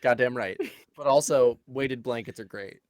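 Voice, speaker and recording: A woman's voice: a bass voice, moderate pace, darkish tone, no echo, studio quality. A woman playing a tabletop game over a call, joking and bantering with the group. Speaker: player.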